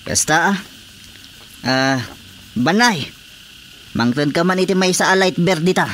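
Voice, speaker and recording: A man's voice: sits high, measured pace, fairly bright tone. A man playing a disgruntled, jealous husband who grumbles and complains in an Ilocano-accented radio drama comedy. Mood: complaining. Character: disgruntled husband jealous of his wife's cat. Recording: clearly noisy radio drama recording.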